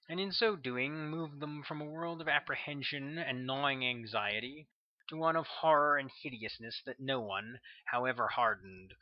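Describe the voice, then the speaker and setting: A man's voice: mid-range voice, no room sound, highs cut off. Man steadily narrating his own novel. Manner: steady, measured storytelling. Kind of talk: audiobook narration.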